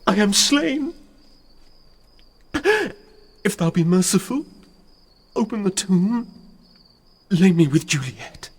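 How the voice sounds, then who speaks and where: An actor with a British accent playing a proud, mournful young noble suitor in a Shakespearean radio drama, in formal, declamatory verse. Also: male voice, sits high, big pitch swings, unhurried, husky, exaggerated voice. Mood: dying.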